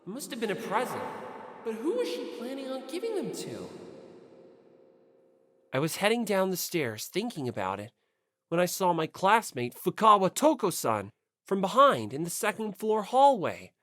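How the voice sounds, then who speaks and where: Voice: medium pitch, huge pitch swings, moderate pace, sharp tone, no room sound. An audiobook narrator reading a first-person story from a script.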